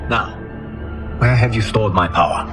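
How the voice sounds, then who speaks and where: A low male voice for a noble protector god played by an actor in a video game cutscene. He sounds grave and formal, carrying the burden of a whole realm. Music runs clearly behind him. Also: unhurried, lightly acted. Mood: earnest, grateful. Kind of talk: video game cinematic acting.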